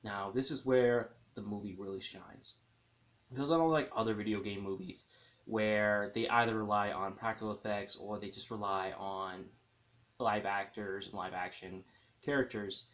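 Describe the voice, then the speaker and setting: A man hosting an enthusiastic movie review, leaning on key words with dramatic, emphatic stresses. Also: on the low side. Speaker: film reviewer and host. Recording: dull, lo-fi sound.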